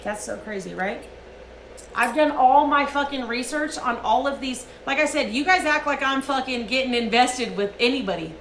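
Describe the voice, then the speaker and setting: A high-pitched, sharp-toned woman rants into her stream, swearing and hammering every point. Her voice rings in an echoey room, with a faint hiss under it. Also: normal speed. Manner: profane, emphatic ranting. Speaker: livestreamer. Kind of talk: heated livestream rant.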